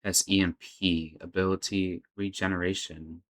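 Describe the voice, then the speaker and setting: A man with a low voice hosts a reaction video. He talks in a casual, unscripted way, commenting on what he is watching. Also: flat delivery, normal speed.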